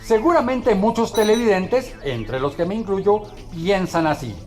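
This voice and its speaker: A man's voice: sits high, lively intonation, a little slow, darkish tone. A man with a Colombian accent presenting a scripted television segment as host and viewers' advocate. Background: music.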